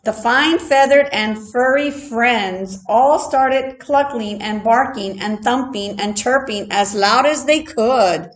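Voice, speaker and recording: Slow, expressive woman reading a children's story. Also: a little high, low-quality recording. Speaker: storyteller, teacher reading aloud.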